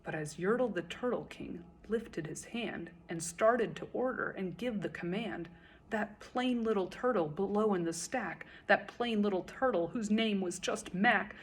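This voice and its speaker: A woman reciting a children's story in verse as a storyteller, with expressive, dramatic and deliberate phrasing. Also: medium pitch, a little hiss or hum.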